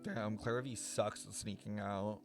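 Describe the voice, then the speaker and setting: A man's voice: lowish voice, steady tone, unhurried, gravelly. A man streaming a game, giving casual live commentary in a blunt, critical, sweary way. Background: game music.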